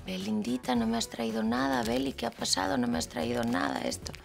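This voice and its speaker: A woman's voice: on the high side, fairly quick, gravelly, soft background music, voice pushed up. A woman putting on a whiny complaining friend. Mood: whining. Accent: Mexican. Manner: whining, pleading. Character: whiny friend complaining about missing gifts.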